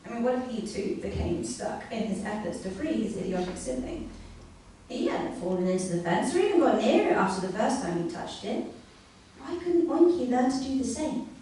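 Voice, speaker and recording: A woman performing a scripted comic story live on stage, with a deliberate mock bedtime-story delivery. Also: fairly high pitch, expressive, warm tone. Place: live comedy venue, indoors. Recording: very echoey room, distant mic, faint noise.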